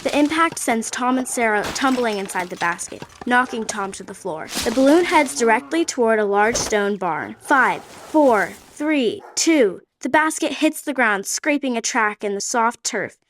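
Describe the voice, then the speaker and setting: A woman narrating a radio play in a steady, descriptive way. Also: fairly high pitch, measured pace, few pauses, a little hiss or hum. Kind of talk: scripted narration.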